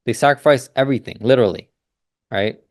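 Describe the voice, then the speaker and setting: A man's voice: medium pitch, fast talker, no room sound, studio quality. A young adult man talking casually as a business consultant host, loose and rambling with many fillers and restarts. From United States. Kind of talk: casual monologue.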